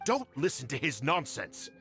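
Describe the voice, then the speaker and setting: A man's voice: mid-range voice, very animated, normal speed, vocal fry, a bit bright, soft background music. An actor playing a scheming, cowardly older nobleman in a video game, obsequious, blustering and easily flustered. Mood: indignant. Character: scheming, fawning, cowardly old male clan lord.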